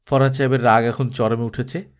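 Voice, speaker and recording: A man's voice: mid-range voice, steady tone, a little fast, clear, resonant tone. A man reading a story aloud as an audiobook narrator, in a dramatic, scripted storytelling style.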